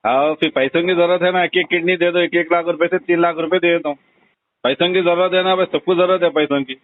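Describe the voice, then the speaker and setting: A man's voice: high-pitched, fairly even intonation, fast, no room sound. A man with a Hyderabadi accent talking bluntly and insistently in a casual conversation over the telephone. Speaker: phone call recipient. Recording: tinny narrowband phone call recording.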